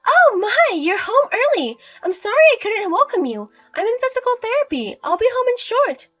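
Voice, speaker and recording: A woman voicing a scheming older mother-in-law who talks in a shrill, scornful, domineering way. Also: sing-song, normal speed. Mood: fake sweet.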